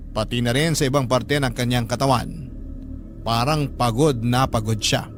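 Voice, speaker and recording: A man narrating a first-person story for a radio drama, earnestly addressing the host. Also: mid-range voice.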